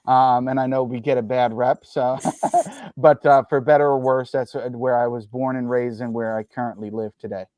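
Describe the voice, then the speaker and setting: A man's voice: mid-range voice, flat delivery, moderate pace, darkish tone, dry recording. A man from New Jersey talking as an interview guest, explaining his views carefully with many ums and uhs and careful qualifications.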